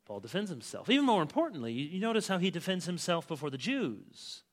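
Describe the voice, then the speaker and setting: A middle-aged male pastor preaching an expository sermon, swinging between loud, stressed phrases and quiet asides. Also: on the high side, sing-song, fast talker. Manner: emphatic, with big swings in loudness.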